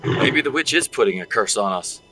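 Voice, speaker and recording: A man's voice: fairly low pitch, lively intonation, normal speed, distant mic, loud music behind the voice, close to an everyday voice, low-quality recording. A man playing a blunt, bossy pallbearer with little patience in an audio drama. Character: blunt, impatient male pallbearer scornful of superstition.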